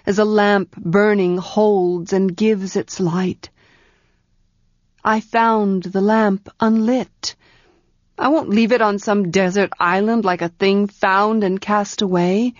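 Woman reading as a calm kind old wizard guy, soft and slow, sound dull and muffled. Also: mid-range voice, no echo, close to an everyday voice. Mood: earnest. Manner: quiet, soothing, measured. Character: gentle, wise male wizard, calm and kind. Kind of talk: audiobook character reading.

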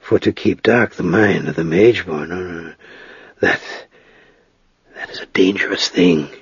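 A grave storybook wizard, voiced by a man, speaks low and husky. He is slow, gentle and certain, with halting pauses, heard on a muffled, roomy recording. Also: sing-song, heavily acted. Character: quiet, grave, wise middle-aged male wizard.

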